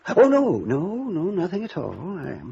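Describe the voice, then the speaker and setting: An actor playing a fussily polite, evasive middle-aged gentleman who stammers and repeats himself in a 1950s radio drama. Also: on the high side, wildly swooping pitch, moderate pace, vocal fry, breathy edge, exaggerated voice. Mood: flustered, defensive. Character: nervous, evasive, fussily polite small-town gentleman, an uncle. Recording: muffled vintage radio recording.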